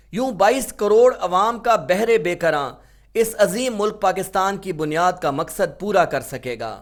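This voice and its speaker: A man delivering a formal, declamatory competition speech, slowing into recited verse between passages. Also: high voice. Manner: orotund, slows for poetry couplets. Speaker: speech competition model speaker.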